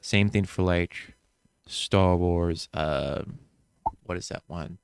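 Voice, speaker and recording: A podcast co-host with a lowish voice and a clean, smooth tone. He rambles and jokes in relaxed chat, full of ums and likes. The sound is dry and close to the mic. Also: slow, no room sound. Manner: rambling, joking, lots of fillers. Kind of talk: casual chat.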